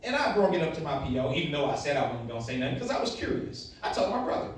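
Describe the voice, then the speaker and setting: A man's voice: a little high, sing-song, racing. A man in his late thirties giving a blunt, candid talk to young people, stressing points with rhetorical questions. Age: late 30s. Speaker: motivational speaker to youth. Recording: very echoey room, far from mic, faint noise.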